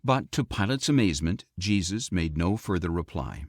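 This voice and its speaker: A man reading scripture as an audiobook narrator, in a measured, expressive storytelling delivery. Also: deep voice, dry recording, studio quality.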